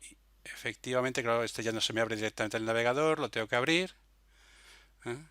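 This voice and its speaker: A man presenting an unscripted software tutorial step by step, hesitant and often checking that listeners follow, close on the microphone. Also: on the low side, no room sound. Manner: hesitant, frequent verbal tags seeking confirmation.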